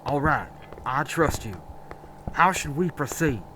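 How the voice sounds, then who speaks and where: Man playing a higher-voiced, animated crew leader. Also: a little slow, background noise. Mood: alert, measured. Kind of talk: post-apocalyptic audio drama acting.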